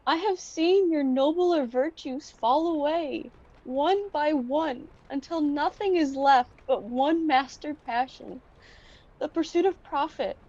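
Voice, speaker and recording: A teenage girl playing a heartbroken but resolute young fiancée in a radio play, solemn and deliberate. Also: high voice, a little mellow, dull audio. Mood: hurt, reproachful. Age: teens. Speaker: student actor.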